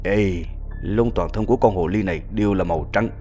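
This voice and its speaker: A man voicing gruff, excitable hunters and villagers in a dubbed animated film. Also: on the low side, a little fast, natural voice. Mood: pleased. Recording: muffled audio under music.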